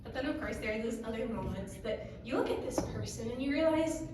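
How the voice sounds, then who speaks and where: A young woman from Ukraine doing a stand-up comedy set on a club stage, with a wry, deadpan delivery and pauses for laughs. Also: fairly high pitch, background noise. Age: young adult. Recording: echoey club from far off. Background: audience laughter.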